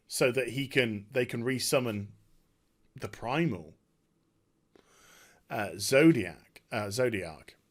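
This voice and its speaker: A man chatting off the cuff about a video game as a streamer, effusive and overwhelmed, often halting, repeating himself and trailing off. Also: medium pitch. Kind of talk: unscripted rambling game review chat.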